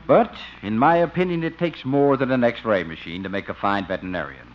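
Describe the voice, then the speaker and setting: An actor playing a courteous, earnest county inspector with a formal manner, in a 1950s radio comedy. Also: male voice, medium pitch, natural voice. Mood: sincere approval. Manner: formal, polite, measured. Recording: dull, faintly noisy old radio recording.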